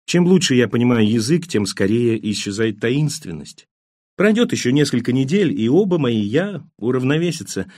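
A man voicing a reflective, melancholy immigrant with dry irony and a measured, literary way of talking, in an audiobook. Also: medium pitch, big pitch swings, a little mellow, no room sound. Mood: ironic, wistful.

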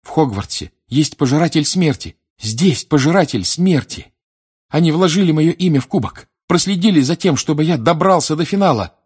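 A man plays a frightened teenage wizard boy in an audiobook. The voice is pushed high and loud above the reader's own, urgent and halting, with agitated exclamations. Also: expressive, husky. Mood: frantic, urgent. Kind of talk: audiobook character acting.

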